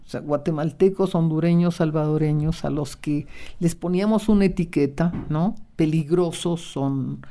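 A woman's voice: lowish voice, warm tone. A woman with a Mexican accent, a guest in a radio interview, speaking reflectively and often closing her phrases with a questioning tag.

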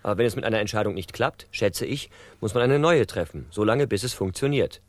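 A man's voice: on the low side, lively intonation, a little fast, no room sound, natural voice. A male actor playing a simple, good-natured, easily flustered man in a children's radio drama, often groping for words. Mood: earnest, hesitant.

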